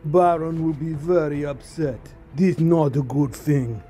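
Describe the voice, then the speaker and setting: Mellow dark timbre, man acting as big menacing henchman, terse broken English, music behind, audio drama. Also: a little high, fairly even intonation, measured pace, lightly acted. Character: large, unfriendly henchman, curt and menacing.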